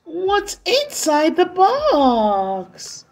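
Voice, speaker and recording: A woman's voice: very high voice, huge pitch swings, very slow, a little mellow, soft background music, voice pushed up, full character voice. A woman voicing a sweet, innocent, spirited young girl elf who exclaims like a child in a storybook. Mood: innocent, curious.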